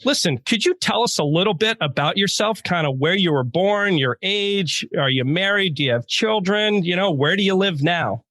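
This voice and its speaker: A man's voice: high-pitched, expressive, normal speed, hardly any pauses, a bit bright, no room sound, clean studio sound. A man hosting a podcast, interviewing in a chatty, conversational way. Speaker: podcast host.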